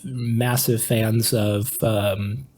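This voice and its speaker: A man's voice: lowish voice, level tone, very slow, a little mellow, slight background noise. A man in casual podcast conversation, hesitant and repeating his phrases as he thinks aloud. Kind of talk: casual chat.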